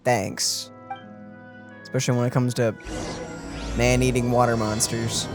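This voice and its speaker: A man's voice: mid-range voice, little pitch movement, measured pace. A man co-hosting a let's play, chatting casually and reading out dialogue choices. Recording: game music behind voice.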